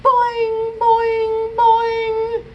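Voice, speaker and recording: Woman shouting as an excitable, high-pitched loud little boy. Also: very slow, highs cut off, slight background noise, exaggerated voice. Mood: bouncy. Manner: explosive, excitable.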